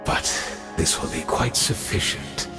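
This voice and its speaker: A man's voice: a little slow, natural voice. An actor voicing a scheming, smug male villain in a video game, speaking with theatrical, polished menace. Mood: scheming calm. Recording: echoey reverb with game music.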